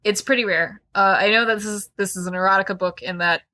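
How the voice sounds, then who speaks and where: Bright-voiced woman hosting a casual podcast. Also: mid-range voice, steady tone, fast. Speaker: podcast host. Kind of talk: casual book discussion, reading out quotes.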